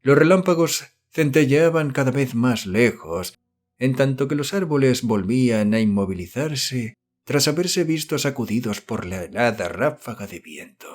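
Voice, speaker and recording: Measured male audiobook narrator. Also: mid-range voice. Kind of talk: scripted narration of a horror novel.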